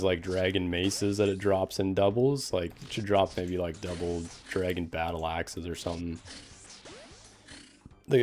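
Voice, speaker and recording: Deep-voiced laid-back streamer man. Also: darkish tone. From Canada. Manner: laid-back, many "like" fillers, answers chat questions. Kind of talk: casual gameplay commentary.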